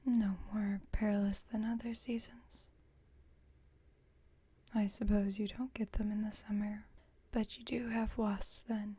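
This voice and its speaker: A woman playing a calm, dryly witty, centuries-old female vampire roommate in an ASMR roleplay, speaking in a soft breathy whisper with gentle, slightly formal phrasing. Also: medium pitch, measured pace. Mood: calm, reflective.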